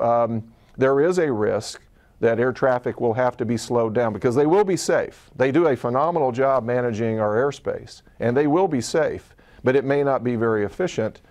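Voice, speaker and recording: An American male executive gives an interview answer in a medium-pitched voice. He talks as a chief executive explaining his view. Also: moderate pace, darkish tone.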